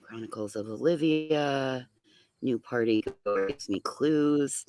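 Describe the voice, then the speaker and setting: A panel co-host who handles the clips, speaking in a brisk, practical way and announcing what comes next. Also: mid-range voice, a little mellow. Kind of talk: casual live-stream chat.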